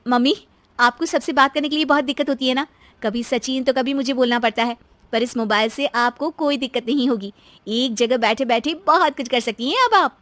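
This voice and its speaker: A woman's voice: high-pitched, brisk, a bit bright, dry recording. A woman voicing a harried, emphatic young daughter-in-law in a cartoon story. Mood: sweet, helpful. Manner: complaining, emphatic.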